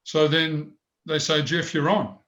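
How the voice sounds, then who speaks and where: A man's voice: on the high side, level tone, measured pace, a bit bright. An older man with a big voice, telling rambling stories in an interview. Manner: rambling anecdotes with many restarts. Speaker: former goalkeeper, guest. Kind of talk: storytelling interview.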